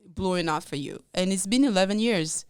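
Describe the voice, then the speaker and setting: Lively French-accented woman telling her story. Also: fairly low pitch, brisk, smooth, no room sound, clean studio sound. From Congo, raised in France. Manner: lively, laughs often, acts out quotes. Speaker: podcast co-host, realtor. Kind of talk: casual interview storytelling.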